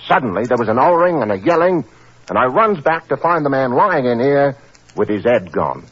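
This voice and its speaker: An eager, plodding constable in an old radio drama. His husky voice swings widely in pitch and his talk is folksy and respectful. The recording is muffled. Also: big pitch swings, normal speed, a bit bright. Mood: earnest, deferential. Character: earnest, deferential working-class London police constable, eager and plodding. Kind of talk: 1940s radio acting.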